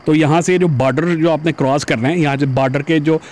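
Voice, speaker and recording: A man's voice: a little high, steady tone, brisk, darkish tone. Man vlogging from a motorbike. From Pakistan. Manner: chatty, addresses viewers as "janab". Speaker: motovlogger. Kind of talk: casual commentary while riding. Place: outdoors on a busy city road, riding a motorbike. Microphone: helmet-mounted camera.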